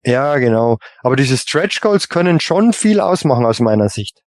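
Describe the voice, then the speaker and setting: A man talking casually as a podcast panelist, feeling his way through his thoughts with pauses and repeated words. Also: mid-range voice, very animated, no echo, clean studio sound. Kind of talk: casual discussion of collector topics.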